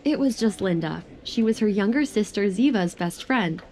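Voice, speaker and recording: A woman's voice: medium pitch, expressive, moderate pace, a little mellow, slight background noise. A woman narrating a scripted romance audio drama.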